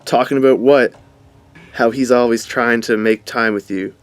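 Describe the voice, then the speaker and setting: A man's voice: mid-range voice, fairly even intonation, normal speed, clean tone, no room sound, lightly acted. A man playing a jealous, thuggish teenage boy who sounds like a comic-strip goon, in a radio drama. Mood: jealous, angry. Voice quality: goonish.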